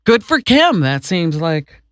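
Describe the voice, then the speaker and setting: A woman working as a radio co-host, reading the news deliberately and adding wry, emphatic asides in casual banter. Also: lowish voice, sing-song, dry recording.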